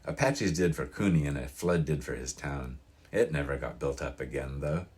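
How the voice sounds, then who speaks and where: A man's voice: a bass voice, moderate pace, darkish tone. A man voicing an opinionated elderly small-town local in an audiobook, with a grating, folksy, emphatic delivery. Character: crusty old mountain-town man, proud, opinionated, wistful. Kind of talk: audiobook character reading.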